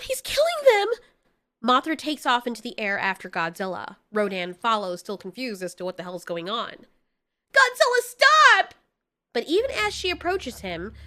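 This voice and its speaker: High-voiced, animated woman storyteller.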